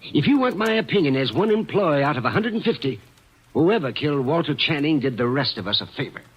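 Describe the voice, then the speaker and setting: An actor playing a blunt, sardonic office employee in an old radio drama. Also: male voice, on the high side, animated, fairly quick, a little hiss or hum, natural voice. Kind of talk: 1940s radio acting. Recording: lo-fi old radio with loud music bed.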